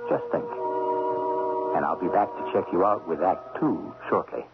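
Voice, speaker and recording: A man's voice: low-pitched, moderate pace, creaky. A man narrating as host of a radio drama in a theatrical, knowing, teasing way. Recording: muffled vintage radio recording. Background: music.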